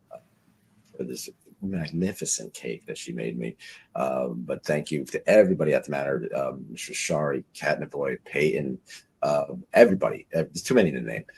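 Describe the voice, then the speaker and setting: A man in his forties hosting an interview, talking in a rambling, halting way with many fillers. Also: extremely low pitch, vocal fry, breathy edge. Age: 40s. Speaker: host. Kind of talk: podcast interview.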